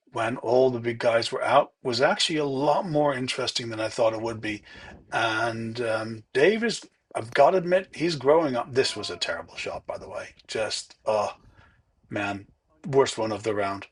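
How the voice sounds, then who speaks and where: A man narrating his own golf round in a relaxed, chatty, self-deprecating way. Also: mid-range voice, normal speed, husky. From not from Ireland. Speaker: golf vlogger. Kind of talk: casual voice-over commentary.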